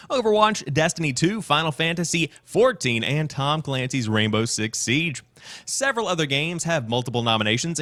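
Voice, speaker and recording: A man hosting a gaming news show in an energetic, polished presenter style, mixing scripted reading with commentary. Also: a little high.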